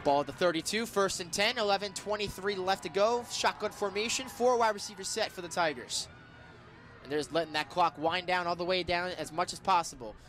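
A man doing live radio play-by-play of football, excitable and stretching his words on big plays. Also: sits high, brisk. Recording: live radio sports broadcast.

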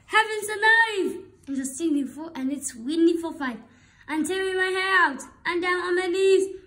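A woman's voice: extremely high pitch, normal speed, sharp tone, voice pushed up. A woman voicing a fretful, grumbling little old lady who pleads and wails, in a storybook reading. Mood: frantic, pleading. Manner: wailing, pleading exclamations.

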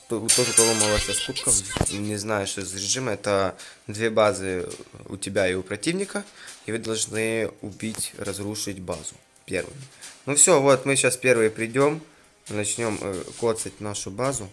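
A slightly hoarse man giving casual gameplay commentary, chatting and thinking aloud with frequent restarts and throat clearing. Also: fairly low pitch, moderate pace, fairly bright tone, soft background music. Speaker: gaming YouTuber.

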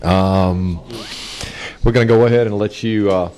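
Low-voiced man chairing a committee. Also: fairly even intonation, a little slow, mellow. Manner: informal, procedural. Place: legislative committee room. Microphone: desk microphone.

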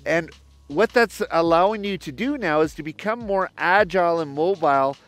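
A man's voice: on the high side, moderate pace, fairly bright tone, no echo. A man giving step-by-step ski instruction outdoors, explaining a demonstration to camera. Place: outdoors on an open snowy mountain ski slope.

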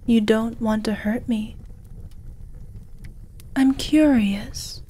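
A woman voicing a gentle, seductive dragon lady in a fantasy ASMR roleplay, soft, breathy and half-whispered, with an intimate, tender manner. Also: fairly high pitch, fairly even intonation, extremely slow, heavily acted. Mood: wounded, sorrowful.

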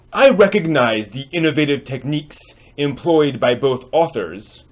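A middle-aged man hosting and giving a dramatic reading with commentary, using expressive, theatrical emphasis. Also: a little high. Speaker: host and reader. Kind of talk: dramatic reading of old online posts with explanatory commentary. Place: small home room with bookshelves, indoors.

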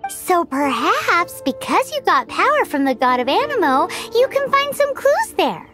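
A woman voicing a tiny, perky fairy girl guide in a video game, squeaky and childlike. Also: big pitch swings, measured pace, sharp tone, soft background music, full character voice. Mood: curious, explaining. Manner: perky, speaks of herself in third person. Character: tiny cheerful floating fairy girl companion. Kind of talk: animated game dialogue.

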